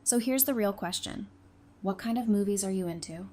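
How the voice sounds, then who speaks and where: A woman's voice: medium pitch, moderate pace, dark tone, lightly acted. A woman playing a witty, hardened apocalypse survivor in a fan audio drama, with a teasing, conversational delivery. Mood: playful. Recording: clear background noise.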